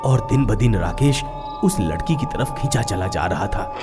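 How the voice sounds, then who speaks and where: A man's voice: on the low side, animated, normal speed, over loud music. A man narrating a scripted horror story in a dramatic storytelling style.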